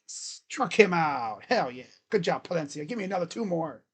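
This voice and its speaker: A live-stream host giving casual play-by-play of a baseball game in a loud, booming voice, chatting between pitches. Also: lively intonation, normal speed, husky.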